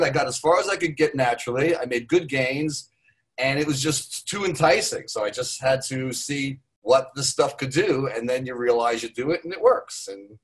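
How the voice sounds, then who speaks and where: A man's voice: mid-range voice, rough recording. An older American man talking as a guest on a podcast over a remote call, rambling with many fillers and restarts. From United States. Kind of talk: casual podcast chat. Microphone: computer mic on a remote call.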